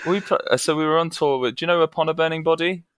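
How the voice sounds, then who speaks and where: A British man, a band vocalist guesting on a podcast, casually telling anecdotes and acting out the dialogue in an animated way. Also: a little high, rapid-fire, clean tone, no room sound, clean studio sound. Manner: animated, acts out quoted dialogue.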